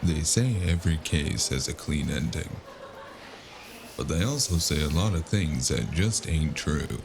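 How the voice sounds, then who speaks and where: A man playing a weary, cynical private detective in a brooding, deliberate noir audio drama monologue. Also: rumbling low, animated, background noise, heavily acted. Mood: grim, weary. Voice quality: gravelly. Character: weary, cynical hard-drinking male private detective in a gritty city. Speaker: audio drama narrator and lead actor. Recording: music underneath. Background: music.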